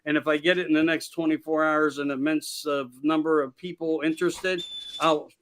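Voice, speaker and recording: A man's voice: fairly high pitch, level tone, normal speed, a bit bright, slight background noise. A man from Pennsylvania, a podcast host appearing as a guest, talking politics in a rambling, emphatic way and repeating his key phrases. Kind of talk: casual political chat.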